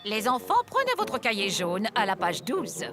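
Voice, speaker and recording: A woman's voice: mid-range voice, wildly swooping pitch, moderate pace. A woman voicing a polite, easily exasperated cartoon schoolteacher with a sing-song theatrical delivery. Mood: lecturing. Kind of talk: French animated series dubbing. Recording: music behind.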